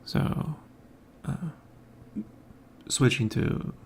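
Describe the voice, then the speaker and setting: A man hosting his own podcast, talking casually and softly in a quiet, mumbling way from a home room with an overhead mic. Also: extremely slow, a little hiss or hum. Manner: quiet, soft-spoken, mumbling. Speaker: podcast host. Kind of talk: casual morning monologue. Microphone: hanging overhead mic.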